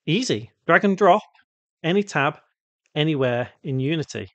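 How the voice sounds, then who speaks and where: Male tutorial presenter, slightly high pitch, step-by-step explainer delivery, dry close voice-over sound. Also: animated, normal speed, dry recording. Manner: explanatory, step-by-step, asking and answering own questions. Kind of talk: unscripted software tutorial narration.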